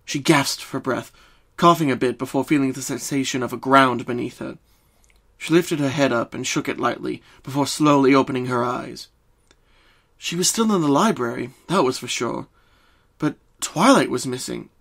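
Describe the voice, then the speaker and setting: A man's voice: mid-range voice, normal speed, vocal fry, a bit bright. A man reading scripted story narration as a dramatic narrator.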